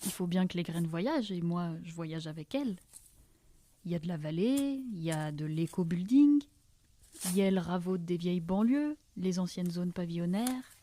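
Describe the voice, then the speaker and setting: Dark-voiced nomad seed-bearer played by a woman. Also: fairly low pitch, lively intonation, normal speed, close to an everyday voice. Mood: enthusiastic, marvelling. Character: nomadic female seed-bearer, enthusiastic, encouraging wanderer. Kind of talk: fiction podcast dialogue.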